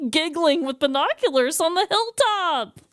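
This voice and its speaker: A woman's voice: very high voice, lively intonation, measured pace, fairly bright tone, no echo, clean studio sound. A woman co-hosting a podcast in casual comedic chat, blunt and sweary. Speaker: podcast co-host.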